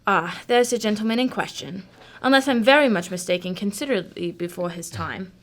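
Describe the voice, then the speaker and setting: A woman plays a cool, analytical female detective in a radio drama. Her medium-pitched voice has plenty of vocal fry. She talks formally and precisely, in measured phrases. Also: animated, close to an everyday voice. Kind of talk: amateur radio drama acting.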